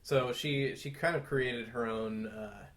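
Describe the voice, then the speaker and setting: A man with a mid-range voice co-hosts a conversational film history podcast. He explains things in a measured, thoughtful manner, talking through details as he goes. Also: mid-range voice, fairly bright tone. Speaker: podcast co-host.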